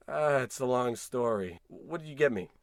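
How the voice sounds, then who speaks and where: A man's mid-pitched, very animated voice acting out an excitable husband in old radio comedy. He sounds folksy, fretful and theatrical, recorded dry. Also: normal speed, no echo, close to an everyday voice. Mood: warm, agreeable.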